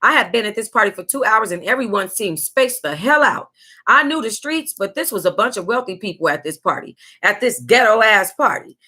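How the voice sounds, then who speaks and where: An American woman reading a memoir aloud as a book club host, with sassy, exclamatory asides and drawn-out emphasis. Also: medium pitch, animated, pushed and bright, slightly rough.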